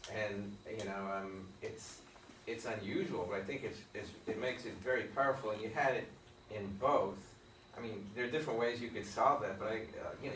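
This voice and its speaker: Man, middle pitch, thoughtful rambling critique, far from the mic, roomy echo. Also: a little hiss or hum. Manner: thoughtful, rambling, many restarts. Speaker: reading group member giving feedback. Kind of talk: casual discussion. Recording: roomy and distant.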